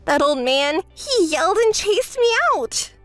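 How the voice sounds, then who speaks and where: A woman's voice: very animated, measured pace, a bit bright, dry recording, soft background music, heavily acted. A woman voicing a spoiled, entitled young woman in a melodramatic story, whiny and demanding. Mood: furious. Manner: whiny, demanding, shrill outbursts.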